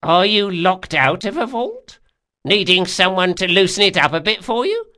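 A man voicing a brusque, sharp-tongued old woman, a master artificer in a fantasy audiobook. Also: high voice, big pitch swings, normal speed, voice pushed up, exaggerated voice. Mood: shrewd, probing. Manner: brusque, exclamatory, scolding.

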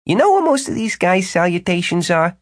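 A man's voice: high voice, very animated, brisk, no room sound, studio quality, pitched above the performer's own voice. A man voicing a showy, self-assured wizard ruler in an audiobook, with an emphatic, theatrical salesman's delivery. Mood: smug, persuasive. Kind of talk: audiobook character dialogue.

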